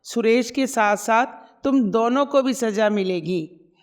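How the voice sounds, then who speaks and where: A woman's voice: on the high side, takes it slowly. A woman voicing a stern, protective middle-aged mother-in-law who scolds and commands. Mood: angry.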